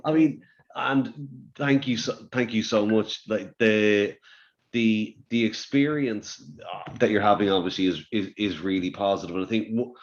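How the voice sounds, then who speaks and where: A man's voice: lowish voice, a little slow. A man hosting a webinar interview over a video call, chatty, often repeating words and saying "you know". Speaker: webinar host. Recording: dull lo-fi call audio.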